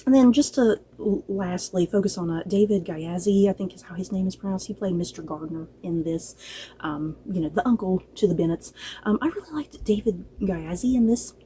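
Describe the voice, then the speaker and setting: A woman's voice: lowish voice, moderate pace, mellow, slight background noise. A woman giving a casual, gushing review as a vlogger, talking directly to viewers in an informal, playfully exasperated way. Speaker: book and drama review vlogger. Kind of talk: casual review chat to camera.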